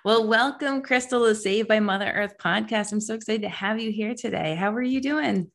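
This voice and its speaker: A woman's voice: mid-range voice, moderate pace, vocal fry. A woman hosting an interview podcast, introducing topics and asking her guest questions. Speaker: podcast host.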